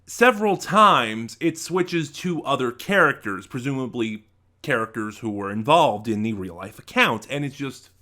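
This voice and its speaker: A man giving an informal spoken review, thinking aloud in a halting, emphatic way. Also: medium pitch, lively intonation, pushed and bright. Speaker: review channel host.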